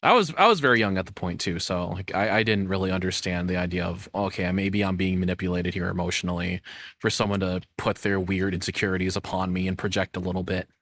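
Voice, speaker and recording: A man's voice: low voice, quick pace, fairly bright tone, dry recording. A man giving casual reaction commentary as a streamer, rambling candidly and self-deprecatingly, with casual swearing.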